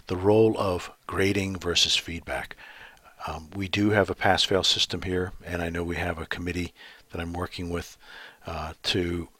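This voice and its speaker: A middle-aged man giving an educational talk, thoughtful and pausing often with ums and uhs. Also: deep voice, pushed and bright, slightly rough, no room sound. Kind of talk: presentation.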